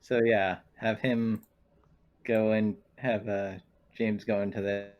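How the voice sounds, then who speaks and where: Low-pitched man talking through game moves. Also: little pitch movement, takes it slowly, highs cut off. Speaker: player in a tabletop game. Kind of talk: casual chat.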